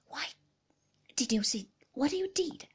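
A woman doing a brooding older gentleman, hushed. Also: high-pitched, expressive, pitched above the performer's own voice. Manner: slow, hushed, half-whispered.

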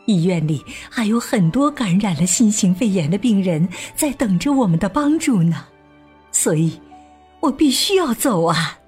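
A woman playing a gentle, dutiful doctor mother with a soothing, earnest manner in a children's radio drama. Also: medium pitch, animated, moderate pace, slightly rough, faint music behind. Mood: apologetic, earnest.

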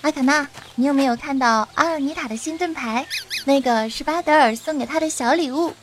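A woman as a high-pitched feisty adventurer girl. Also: fairly quick, background noise, lightly acted. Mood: curious, chatty. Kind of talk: audio drama acting.

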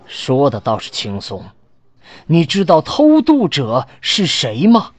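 A man plays an impassioned male official in a radio play, rather high in pitch with big swings of intonation. He is emphatic and challenging, recorded close and dry. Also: huge pitch swings, slow, no echo, heavily acted. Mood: indignant.

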